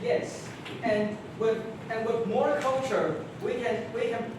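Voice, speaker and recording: High-voiced debater repeating words, echoey hall. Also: male voice, slow pace. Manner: repeating words as he builds sentences. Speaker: student debater. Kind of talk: debate crossfire answer. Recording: very echoey room, distant mic, background noise.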